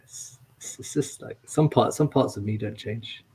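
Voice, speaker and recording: A man's voice: on the low side, normal speed, darkish tone, breathy edge, rough recording. A man from India chatting casually on a podcast, laid-back and hesitant, often repeating words. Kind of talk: casual chat.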